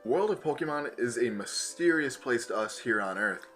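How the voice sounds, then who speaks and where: Hoarse man, slightly raspy, bright tone, enthusiastic science explainer, says "you know" a lot. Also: mid-range voice, expressive, normal speed, soft background music. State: hoarse from a cold. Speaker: host of an educational science channel.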